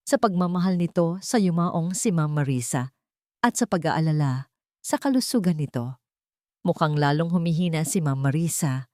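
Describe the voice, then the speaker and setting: A woman narrating a scripted audio drama in a measured, dramatic storytelling manner. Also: deep voice, a little mellow, dry recording, studio quality.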